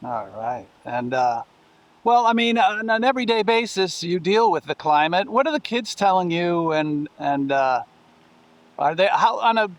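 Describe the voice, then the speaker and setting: A man's voice: sits high, lively intonation, moderate pace. A man interviewing someone casually, feeling his way through questions and rephrasing as he goes.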